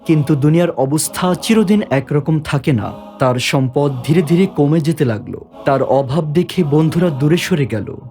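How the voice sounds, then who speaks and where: A male narrator reads a scripted story. His voice is a little higher than most men's, and music runs behind the narration. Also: a little mellow. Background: background music.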